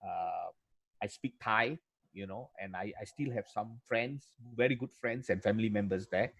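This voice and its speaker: A man's voice: on the low side, moderate pace, gravelly, fairly bright tone, breathy edge, no echo, low-quality recording. A Malaysian man speaking casually as a guest instructor in an online meeting, repeating phrases and often checking in with "you know" and "yeah". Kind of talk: casual spoken Q&A. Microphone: online video meeting.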